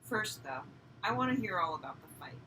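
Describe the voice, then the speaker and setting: A woman's voice: fairly low pitch, very animated, brisk. Distant, noisy woman reading as a talkative old uncle. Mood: excited, eager. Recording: distant mic, clearly noisy background.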